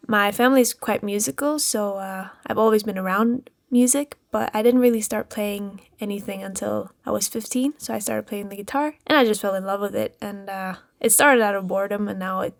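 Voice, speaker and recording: A woman's voice: medium pitch, brisk, gravelly, few pauses. A woman who grew up in Spain and now studies music production, answering interview questions over a remote call in a bubbly, giggly way. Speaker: music production student guest.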